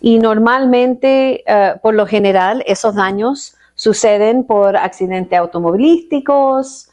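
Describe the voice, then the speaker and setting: A middle-aged woman from California, a personal injury lawyer, calmly explaining legal points in an interview and slipping in English terms. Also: fairly high pitch, slow. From California, United States. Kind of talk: interview answers.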